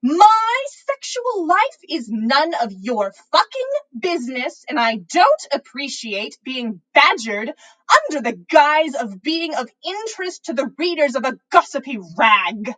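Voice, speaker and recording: A woman voicing a furious, indignant student in a story reading, yelling with drawn-out, emphatic words. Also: high voice, sing-song, pitched above the performer's own voice, heavily acted, rough recording.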